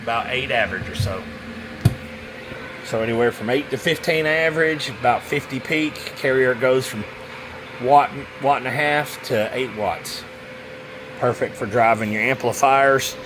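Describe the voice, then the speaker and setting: A man's voice: mid-range voice, normal speed, noisy background. A man giving a casual, rambling hands-on product demo, muttering to himself as he goes. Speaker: radio seller demonstrating a CB radio.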